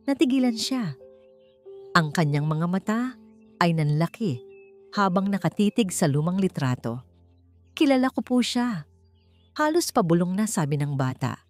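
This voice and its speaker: Low-pitched woman narrating a dramatic story. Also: darkish tone.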